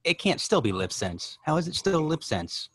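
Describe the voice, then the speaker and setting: A man's voice: fairly high pitch, sing-song, normal speed, fairly bright tone, slightly rough. A man co-hosting a podcast, tossing in wry, casual banter. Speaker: podcast co-host.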